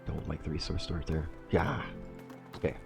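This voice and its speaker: A man's voice: extremely low pitch, fairly even intonation, moderate pace, creaky, over music. A man with a stuffy nose from a cold, hosting a casual game playthrough and thinking aloud as he reworks his plans. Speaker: let's play host. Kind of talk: casual gameplay commentary.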